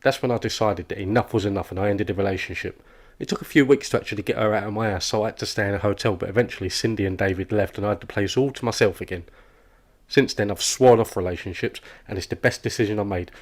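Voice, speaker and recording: Man acting fast-talking, oblivious office chatterbox. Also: lowish voice. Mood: oversharing. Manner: rambling, oversharing, cheerfully oblivious. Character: oblivious, relentlessly chatty male office worker. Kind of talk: comic animated dialogue.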